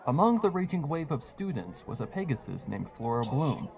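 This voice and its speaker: A man's voice: mid-range voice, very animated, moderate pace, gravelly, a little mellow, a faint crowd. A man reading a fan fiction story aloud as a dramatized scripted narration. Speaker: story reader.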